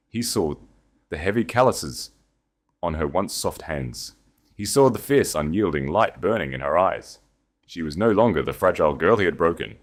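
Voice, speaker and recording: Male audiobook narrator, very low voice, dramatic, wide-ranging delivery. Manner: intense, dramatic storytelling.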